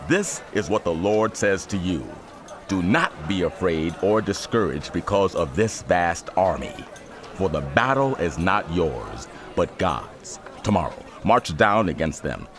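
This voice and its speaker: An actor playing a solemn, assured Levite prophet in a dramatized audio Bible, exhorting with authority. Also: male voice, sits low, very animated, a little slow, a crowd in the background. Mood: commanding, reassuring.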